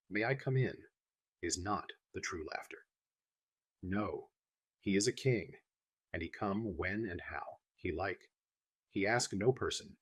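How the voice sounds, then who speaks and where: A man reading the lines of an old foreign professor who speaks slow, broken English, grieving yet philosophical. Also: low voice, slightly rough, no echo. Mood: earnest. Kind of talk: audiobook reading.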